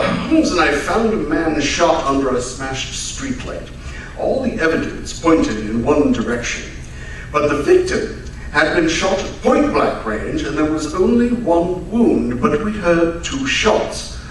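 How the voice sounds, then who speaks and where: A man playing a genial gentleman storyteller, a detective's companion, in measured old-time radio drama narration. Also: fairly high pitch, very animated. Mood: genial, storytelling. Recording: echoey hall from far off, faint noise.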